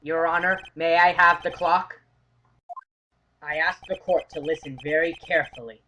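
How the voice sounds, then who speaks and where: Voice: medium pitch, fairly even intonation, moderate pace, sharp tone, highs cut off, slight background noise, lightly acted. An actor voicing an earnest, dogged young male defense attorney in an amateur courtroom game dub, arguing assertively. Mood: careful. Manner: assertive, declamatory argument.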